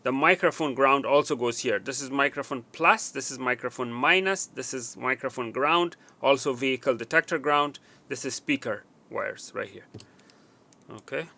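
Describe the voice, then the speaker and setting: A man with a bright, ringing voice walks through a wiring job at an indoor workbench. He speaks haltingly, with fillers and restarts, and counts pins aloud as he points to them. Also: medium pitch, gravelly. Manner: halting, with frequent fillers and restarts while working. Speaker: technician demonstrating wiring. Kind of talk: hands-on instructional walkthrough.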